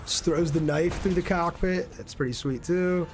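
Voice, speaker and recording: A man's voice: on the high side, moderate pace. A man doing casual live gameplay commentary and chatting with viewers in a laid-back, slangy way. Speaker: game streamer.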